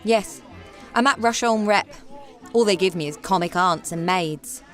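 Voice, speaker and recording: A woman's voice: mid-range voice, measured pace, fairly bright tone, soft background music, close to an everyday voice. An actress playing a frank, self-assured young theatre woman with a dry, matter-of-fact manner in a radio play. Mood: wry, curious. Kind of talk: radio play acting and narration.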